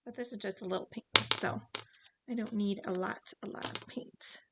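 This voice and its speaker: A woman leading a relaxed paint-along tutorial, chatting and thinking aloud as she paints, and now and then clearing her throat. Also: mid-range voice, normal speed, background noise. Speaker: painting instructor.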